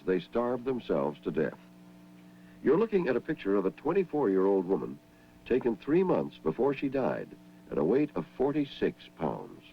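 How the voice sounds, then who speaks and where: Grave man narrating a documentary. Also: lowish voice. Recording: dull old film soundtrack with faint hum.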